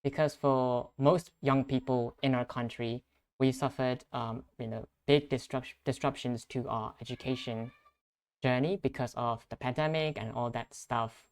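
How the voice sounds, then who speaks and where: A young man hosting a conversational podcast interview in a measured, earnest way. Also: medium pitch, steady tone. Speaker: podcast host.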